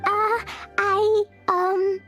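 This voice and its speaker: A woman's voice: very high-pitched, little pitch movement, extremely slow, a bit bright, exaggerated voice. A woman voicing an innocent, mischievous little girl knight in an anime game, with a cute childlike voice and an earnest, naive manner. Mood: confused, pleading. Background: game music.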